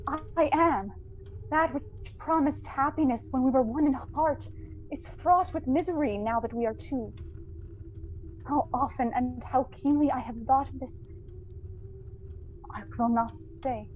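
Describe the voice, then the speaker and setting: Sorrowful young woman, fairly high. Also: lo-fi sound, a little hiss or hum. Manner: gentle, measured, earnest. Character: sorrowful, dignified young fiancée releasing her beloved. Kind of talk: theatrical audio drama acting.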